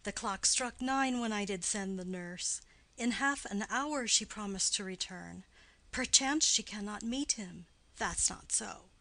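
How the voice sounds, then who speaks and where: A woman's voice: mid-range voice, unhurried, creaky, pushed and bright, no echo. A woman voicing an impatient, lovesick, pleading young girl in a classical stage play reading, earnest and declamatory.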